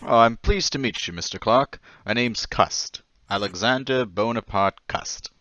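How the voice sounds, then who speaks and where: An actor playing a timid, eccentric war-veteran lodger in an old-time radio drama, hesitant and stammering with nervous manners. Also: male voice, mid-range voice, measured pace, sharp tone, dry recording, lo-fi sound. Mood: nervous, meek.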